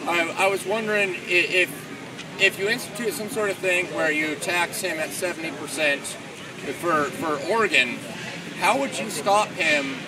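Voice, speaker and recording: A man at an outdoor meeting challenges a speaker with pointed questions, in a loud, argumentative manner. His voice is a little high. He is heard from a distance, with clear outdoor noise behind him. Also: moderate pace. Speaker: audience member asking questions. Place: outdoor public gathering.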